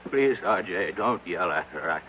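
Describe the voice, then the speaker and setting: An actor playing a timid, fearful man of seventy in a radio drama, speaking in a halting, feeble, pleading way. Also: sits low, lively intonation. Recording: tinny old radio broadcast, faint hum.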